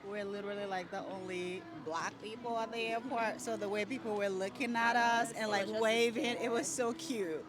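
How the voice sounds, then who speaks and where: A woman's voice: mid-range voice, fairly even intonation, normal speed, few pauses. A woman from the United States, a tourist speaking animatedly.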